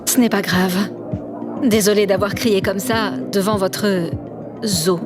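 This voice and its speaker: A woman's voice: mid-range voice, fairly even intonation, slow, over music, close to an everyday voice. A woman playing a stern, sardonic investigator in an audio drama, restrained and probing. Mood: guarded. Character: stern, sardonic female investigator, quietly worried.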